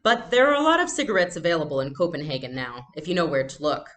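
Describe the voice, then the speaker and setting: A woman voicing a protective, decisive storybook father who speaks earnestly and deliberately. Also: sits low, animated, a bit bright. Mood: feigned cheer.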